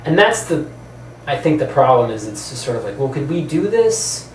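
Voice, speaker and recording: A man co-hosting a casual discussion, arguing his opinions in a halting, conversational way and rephrasing as he goes. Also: mid-range voice, sing-song. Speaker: podcast co-host. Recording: roomy, distant mic, background noise.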